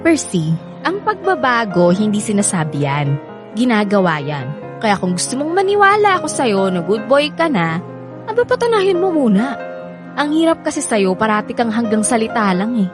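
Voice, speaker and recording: A skeptical, sharp-tongued young woman in a radio drama. Her voice sits fairly high and she answers with expressive sarcasm and scolding. Soft music plays behind her. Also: moderate pace. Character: Star, sassy skeptical young woman resisting a charming womanizer.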